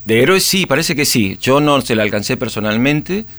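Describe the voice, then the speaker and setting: A man's voice: medium pitch, animated, moderate pace, a bit bright, faint music behind. A middle-aged man, chatting as a guest in an interview, hesitant with many restarts and fillers. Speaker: guest musician. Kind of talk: casual interview chat.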